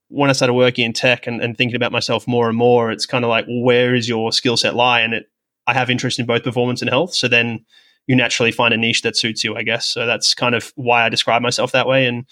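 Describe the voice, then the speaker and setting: Male podcast guest: brisk, bright delivery, reflective and self-deprecating, conversational. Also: medium pitch. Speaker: podcast guest, sports technology professional with medical and coaching background. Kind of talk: conversational interview, explaining own experience.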